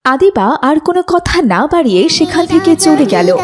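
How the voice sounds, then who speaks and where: A woman's voice: sits high, very animated, normal speed. A woman narrating a scripted moral story for children.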